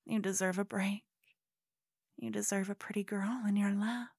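A woman's voice: medium pitch, steady tone, moderate pace, gravelly, darkish tone, breathy edge, no echo, clean studio sound. A woman playing a cute, obsessed, scheming yandere con woman in an ASMR roleplay, coy and cooing, often slipping into whispers. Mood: tender, possessive.

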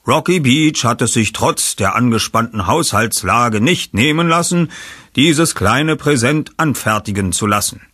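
A man's voice: on the low side, fairly bright tone, breathy edge, no room sound, studio quality. A man playing a self-assured, eloquent boy detective in an audio drama, speaking precisely and formally. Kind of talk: German audio drama acting and narration.

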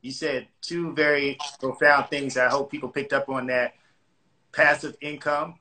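A man hosting a live video interview, with a bright, forward tone. He speaks in a measured, affirming way. The sound is everyday phone or webcam audio. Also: medium pitch, steady tone, slight background noise. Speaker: host.

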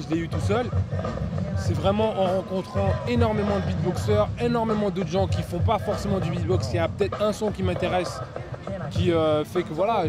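A young man from Haiti, interviewed outdoors in a park, talking in a relaxed, rambling way with many fillers. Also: high voice. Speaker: interviewee, beatboxer and MC. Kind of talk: casual interview chat.

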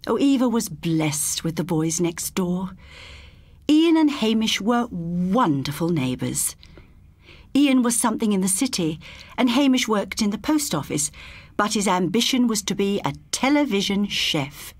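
A woman narrating a scripted short story as an audiobook reader, expressive and measured. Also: mid-range voice, no echo.

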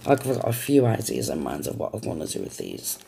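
A woman's voice: extremely low pitch, wildly swooping pitch, fairly quick, vocal fry, warm tone, a little hiss or hum. A woman with a cold chatting casually and apologetically as she shows off craft supplies at her home desk, recorded on a camera set on the desk. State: has a cold. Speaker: craft vlogger. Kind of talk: casual haul show-and-tell.

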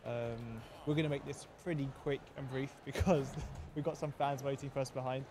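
A man's voice: medium pitch, normal speed, noisy background. Laughing man hosting a casual podcast. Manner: laughs often, relaxed and joking. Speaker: podcast co-host. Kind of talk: casual chat. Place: indoor space near a pop-up store.

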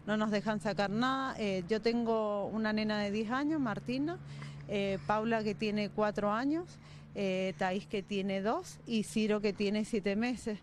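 A woman in her thirties with an Argentine accent, answering a reporter's questions on location in a soft, halting way and repeating her words. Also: mid-range voice, slight background noise. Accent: Argentine Spanish. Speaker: interviewee. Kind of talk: on-location news interview. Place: outdoors by a brick shack, then a small room. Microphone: handheld reporter's mic.

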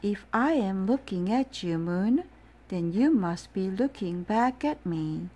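A woman voicing a gentle, muddled little male owl in a storybook read-aloud, plaintive and earnest. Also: medium pitch, expressive, slow.

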